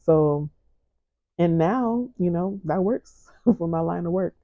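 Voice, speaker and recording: A woman podcast host giving a gentle, encouraging faith-based talk in a soft, low, soothing voice. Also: expressive, slow pace, no echo, muffled recording.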